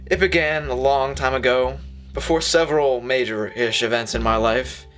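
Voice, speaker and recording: A gloomy noir poet played by a man confesses his downfall in film voice-over. His voice is forceful and bright, melodramatic and full of self-pity, with music underneath. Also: medium pitch, steady tone, moderate pace, natural voice. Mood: reminiscing. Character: gloomy self-pitying male slam poet, brooding noir narrator. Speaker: narrating lead character.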